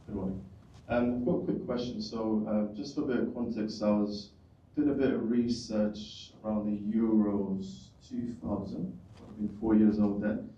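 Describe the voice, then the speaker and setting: A British man in his twenties asks a long, polite question from the audience. His voice is low, mellow and flat. The sound is roomy and dull, picked up from across the hall. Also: recorded from a distance. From United Kingdom. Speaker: audience member asking a question.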